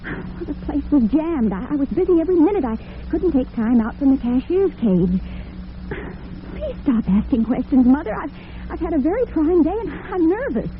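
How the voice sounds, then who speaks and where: A woman's voice: high-pitched, big pitch swings, moderate pace. A woman playing a devoted, nervous and defensive young working daughter in an old-time radio drama. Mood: defensive, flustered. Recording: tinny, noisy vintage radio broadcast.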